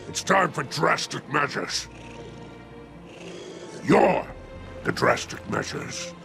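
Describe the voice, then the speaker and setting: Sly, greedy alien gangster for a game: very gravelly, slow wheedling drawl. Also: male voice, mid-range voice, exaggerated voice. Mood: grim, businesslike. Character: sly, mercenary alien crime boss. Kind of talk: video game character acting.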